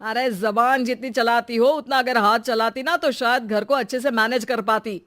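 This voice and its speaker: A woman's voice: fairly high pitch, steady tone, normal speed, a bit bright, natural voice. A woman voicing an impatient, fault-finding husband in a cartoon story.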